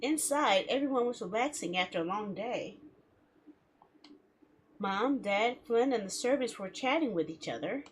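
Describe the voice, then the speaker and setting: A woman game streamer reading a visual novel aloud in a theatrical, expressive way, with playful asides. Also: fairly high pitch, normal speed, slight background noise, low-quality recording. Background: background music.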